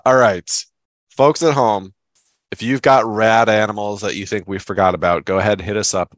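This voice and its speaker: A man's voice: on the low side, dry recording. A man co-hosting a podcast in casual chat, sounding stuffed up and talking in an enthusiastic, rambling way. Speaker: podcast co-host.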